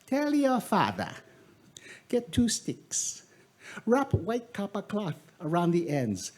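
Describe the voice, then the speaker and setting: A ragged old woman, secretly a goddess, begs in a high, wavering wail. The voice is husky and pitched far above a man's usual talk, with plenty of swing in it. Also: wildly swooping pitch, takes it slowly, exaggerated voice. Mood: commanding. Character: hungry ragged old goddess in disguise, pleading.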